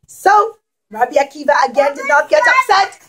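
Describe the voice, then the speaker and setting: A woman with a high voice tells a story to young children. She teaches dramatically, leaning on emphatic pauses and putting questions to her listeners. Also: big pitch swings, a bit bright.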